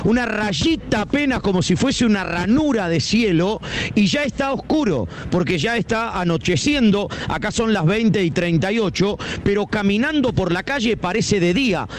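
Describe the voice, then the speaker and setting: A man with a Rioplatense accent reporting live from a city street outdoors, describing the scene in a vivid, unhurried way. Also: high voice, animated, slight background noise. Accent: Rioplatense Spanish. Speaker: field reporter.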